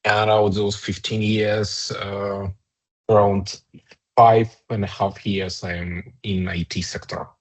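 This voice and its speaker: A man with a Ukrainian accent, an HR director in his thirties, answering interview questions in a halting way with many fillers and restarts. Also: fairly low pitch, flat delivery, low-quality recording. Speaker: HR director guest.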